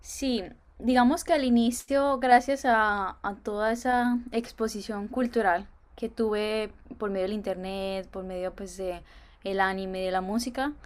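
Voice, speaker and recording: A young woman from Colombia with a Colombian accent, answering interview questions in a thoughtful way with many filler pauses. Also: medium pitch, steady tone. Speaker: interview guest.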